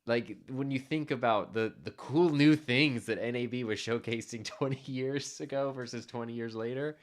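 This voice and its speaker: A man's voice: medium pitch, normal speed. A man co-hosting a casual livestream podcast, speaking in an easygoing way and laughing often. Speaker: co-host.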